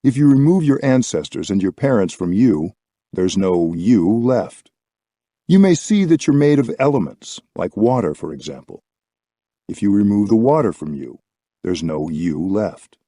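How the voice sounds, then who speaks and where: A man reading a scripted spiritual audiobook with measured, deliberate phrasing. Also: fairly low pitch, big pitch swings, warm tone, dry recording, studio quality. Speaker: audiobook reader.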